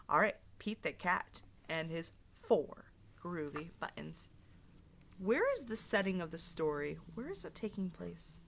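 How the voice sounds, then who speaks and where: Slow-paced woman reading to young children. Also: lowish voice, vocal fry. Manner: animated, expressive storybook delivery with simple explanations and questions. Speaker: teacher reading a picture book aloud to young children. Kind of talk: interactive read-aloud lesson with questions to listeners.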